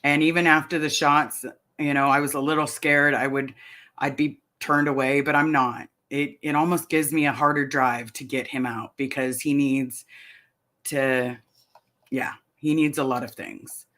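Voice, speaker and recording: Middle-aged woman, live-stream vlogger, chatty and rambling, bright clear tone. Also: very deep voice, fairly even intonation, normal speed. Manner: chatty, rambling, reads comments aloud. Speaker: vlogger answering live chat. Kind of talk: casual live-stream chat.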